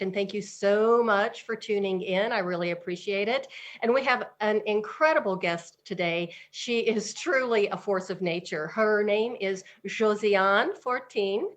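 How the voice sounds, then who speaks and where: A woman's voice: mid-range voice, moderate pace, muffled recording. Host, middle-aged woman, mid-range voice, upbeat and gushing interviewer. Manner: enthusiastic, effusive praise. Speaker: podcast host. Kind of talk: interview hosting.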